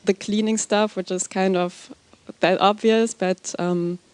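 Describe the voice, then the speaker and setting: A woman reporting back in a workshop discussion, halting and hesitant with many fillers. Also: medium pitch, fairly even intonation, darkish tone, clear, resonant tone, no echo. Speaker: workshop participant.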